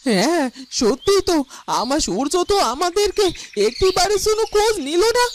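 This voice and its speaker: A woman's voice: extremely high pitch, big pitch swings, normal speed. A woman playing a sorrowful, longing mother in a cartoon, plaintive and melodramatic. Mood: hurt, longing. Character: sorrowful mother longing for her absent son. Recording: clear noise with birds and insects.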